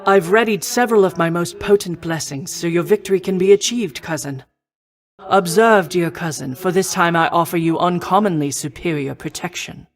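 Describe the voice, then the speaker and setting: A woman playing a noble, protective war goddess in a video game, speaking in formal, measured, old-fashioned phrasing. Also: fairly low pitch, close to an everyday voice. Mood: composed, encouraging. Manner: formal, measured, archaic stately diction. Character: noble female war goddess, poised, protective, confident mentor. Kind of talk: scripted video game voice acting.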